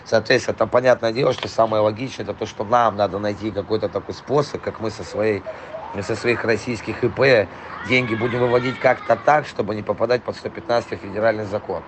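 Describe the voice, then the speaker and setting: A hoarse man rambling profanely in a casual voice message to a client, full of fillers. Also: fairly low pitch, fairly even intonation, fairly quick, background noise. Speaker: trailer import dealer.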